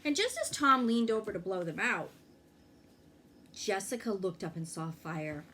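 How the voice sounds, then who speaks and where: A woman reading a children's story aloud in an expressive way, pausing to check in with young listeners. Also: medium pitch. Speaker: fire inspector reading a children's book aloud.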